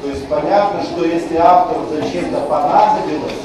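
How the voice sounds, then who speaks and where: A male lecturer giving a university lecture, pausing to repeat key terms slowly and adding conversational asides. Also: on the high side, a crowd in the background. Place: lecture hall. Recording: far-off mic in an echoey lecture room with noise.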